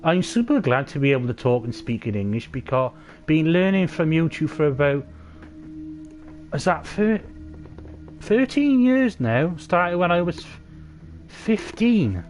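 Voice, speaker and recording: A man streaming a video game, talking to the characters in loud, sweary, rambling banter. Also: on the high side, big pitch swings, measured pace, pauses often, faint music behind. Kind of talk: game livestream commentary.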